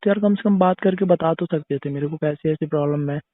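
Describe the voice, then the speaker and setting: A man's voice: on the high side, fast, no room sound. Young man on a tinny phone call. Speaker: boyfriend. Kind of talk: casual relationship phone chat.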